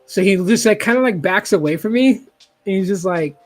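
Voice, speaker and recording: A man's voice: sits high, expressive, a little fast, faint music behind. A man in his forties hosting a casual online chat, swearing freely and acting out quoted talk. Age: 40s. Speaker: YouTube host.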